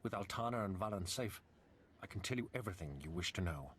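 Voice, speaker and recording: A solemn, low-voiced nobleman. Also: lively intonation, a bit bright, a little hiss or hum. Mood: grave. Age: middle-aged. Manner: solemn, formal, measured. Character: grave middle-aged nobleman, devoted husband and father. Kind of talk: video game cutscene acting.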